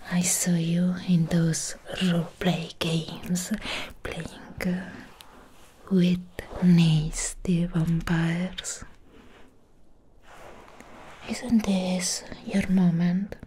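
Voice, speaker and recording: Woman playing a slow, whispering, obsessive vampire ex-girlfriend. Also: lowish voice, level tone, dark tone, slight background noise. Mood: teasing menace. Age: young adult. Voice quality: breathy whisper. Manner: slow, lingering, intimate, cooing. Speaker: ASMR roleplay performer. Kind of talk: soft-spoken whispered ASMR roleplay. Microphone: close mic, intimate.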